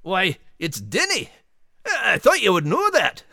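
A man's voice: sits high, huge pitch swings, measured pace, vocal fry, bright, forceful tone, dry recording, studio quality, heavily acted. A man playing a jaunty, bashful little Scottish terrier with a Scottish accent in a children's story podcast. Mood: jaunty, teasing. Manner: jaunty, teasing.

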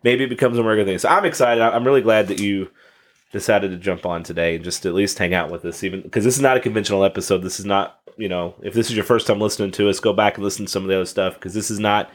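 A man's voice: on the low side, very fast. A man hosting a podcast, upbeat and addressing his listeners directly. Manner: upbeat, talks straight to listeners. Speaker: podcast host.

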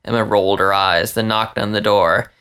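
A man with a creaky voice reading a story aloud as scripted narration. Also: lowish voice, steady tone, normal speed, bright, forceful tone. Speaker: story reader.

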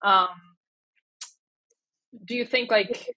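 A woman's voice: a little high, steady tone, drawn-out words, bright, forceful tone, lo-fi sound. A woman hosting a podcast interview in a casual, conversational way, often dropping in "like". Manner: informal, conversational, frequent "like".

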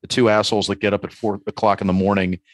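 A man's voice: fairly low pitch, little pitch movement, quick pace, no echo. A middle-aged man hosting a podcast, talking casually in long, rambling, philosophical asides. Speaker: podcast host.

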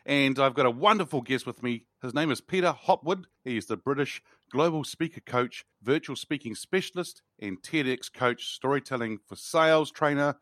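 A man's voice: medium pitch, normal speed, hardly any pauses, a bit bright, no echo, studio quality. A man hosting a leadership podcast, reading his opening and then interviewing a guest in an upbeat, emphatic way. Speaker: podcast host. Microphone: video call.

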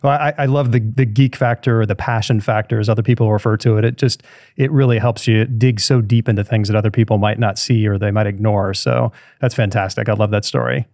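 A man's voice: lowish voice, fairly even intonation, fairly quick, a little mellow, no room sound, studio quality. A man hosting a podcast who reads a friendly scripted introduction and then asks questions in easy conversation. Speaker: podcast host. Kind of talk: podcast interviewing.

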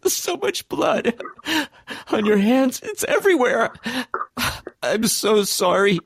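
Casting: man as teen reluctant hero, high and swooping, halting, melodramatic, slight music underneath. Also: big pitch swings, creaky, breathy edge, no echo, full character voice. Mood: grief. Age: young adult. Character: anguished, earnest teenage boy thrust into being hero. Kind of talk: audio drama acting.

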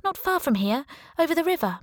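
A woman's voice: sits high, very animated, a little fast, no echo, pitched above the performer's own voice. A woman voicing a smiley, polite, flirty female intelligence officer making small talk in an audiobook. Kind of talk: audiobook character dialogue.

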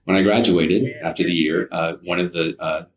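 A middle-aged man speaks in a very low voice. He tells personal stories in a casual, self-deprecating way, often quoting remembered exchanges as a guest in an interview. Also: normal speed.

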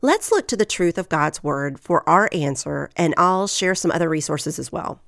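A woman hosts a faith podcast in an earnest, encouraging way, her voice nasal from a lingering head cold. There is a gravelly rasp under her somewhat low voice. The recording is close and dry, with no room sound. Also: lively intonation, moderate pace, a bit bright, no echo. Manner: earnest, encouraging, reads scripture aloud. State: getting over a head cold. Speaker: podcast host.

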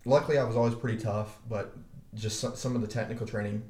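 A young man with a deep voice, low in pitch, talking in a relaxed way. He circles back and repeats himself as the stories unfold. The sound is roomy, with a clear echo. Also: quick pace. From Arkansas, USA. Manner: laid-back storytelling, frequent restarts and swearing. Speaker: podcast co-host. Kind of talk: casual chat. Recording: echoey room.

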